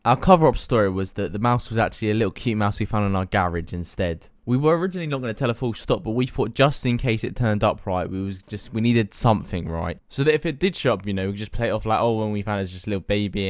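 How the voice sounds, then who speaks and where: Young brit lad doing storytime, sweary n slangy, very dry sound, no room on it. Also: male voice, fairly low pitch, no room sound. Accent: British. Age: young adult. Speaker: YouTube storyteller. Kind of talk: casual comic anecdote telling.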